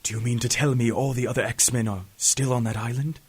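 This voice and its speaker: A man playing a grave, authoritative professor who mentors superheroes, speaking urgently and deliberately in a fan audio drama. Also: mid-range voice, fairly even intonation, darkish tone, breathy edge, close to an everyday voice. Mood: alarmed.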